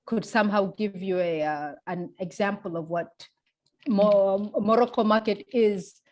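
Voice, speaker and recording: A woman with a Tanzanian accent, speaking in a measured, deliberate, explanatory way in a reflective interview. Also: medium pitch, darkish tone. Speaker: interviewee, feminist public intellectual. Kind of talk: reflective oral history interview.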